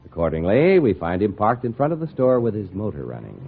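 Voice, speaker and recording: A man's voice: lowish voice, huge pitch swings, fairly quick, creaky, husky. An American man announcing in scripted 1950s radio style, delivering adverts and story introductions. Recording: muffled old radio broadcast.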